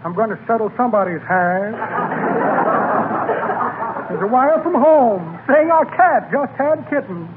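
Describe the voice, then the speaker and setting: A man's voice: very high voice, animated, measured pace, pauses often. An actor playing a shifty, grandiose con man with florid, rambling patter, in 1940s radio comedy style. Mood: rambling, musing. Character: shifty, blustering old-time con man, windy and grandiose. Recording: tinny 1940s radio broadcast, clear noise.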